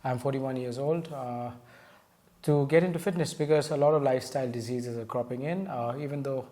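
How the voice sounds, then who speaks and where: A man's voice: medium pitch, a little fast, warm tone. A man in his forties hosting a casual chat, teasing his guest and chuckling. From India. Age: 40s. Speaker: podcast host.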